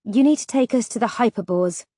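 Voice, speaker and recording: A woman's voice: fairly high pitch, a little fast, warm tone, no echo, studio quality, lightly acted. A woman voicing a spirited, determined young heroine with a direct manner in an audiobook.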